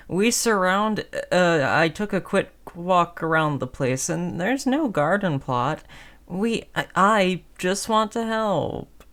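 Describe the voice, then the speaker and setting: A woman playing a sly, chummy female zombie in an audio roleplay, coaxing in a falsely friendly, hesitant conversational way. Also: fairly low pitch.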